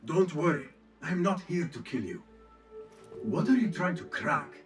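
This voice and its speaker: A man playing a young, dutiful and curious assassin in a video game cutscene, with measured, formal phrasing. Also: on the high side, animated, faint music behind. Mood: reassuring.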